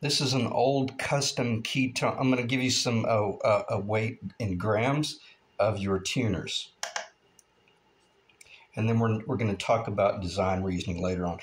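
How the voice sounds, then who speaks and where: A man in his fifties giving a relaxed, rambling guitar-building tutorial at his workshop bench, with many "uh" fillers. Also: on the low side, unhurried, a little hiss or hum. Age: 50s.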